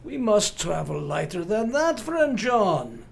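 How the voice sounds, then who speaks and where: An actor playing an earnest, commanding old professor in a radio play, with formal, deliberate, instructive speech. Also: male voice, sits high, sing-song, vocal fry, slightly rough, full character voice. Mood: resolute, instructive. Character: earnest, commanding old male professor leading vampire hunters.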